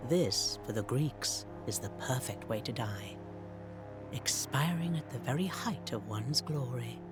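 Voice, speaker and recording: A man playing an erudite storyteller in a video game, narrating ancient Greek wars with wry, theatrical deliberation. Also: on the high side. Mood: solemn, reflective. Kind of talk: dramatic scripted narration.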